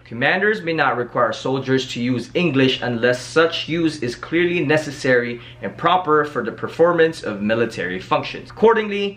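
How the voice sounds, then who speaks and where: A man's voice: medium pitch, fairly bright tone. A man in his late twenties from the Philippines, a native Tagalog speaker with a Filipino accent, talking casually to camera in an upbeat, chatty way and often asking "okay?". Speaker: YouTuber and Army medic. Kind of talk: casual vlog talk to camera.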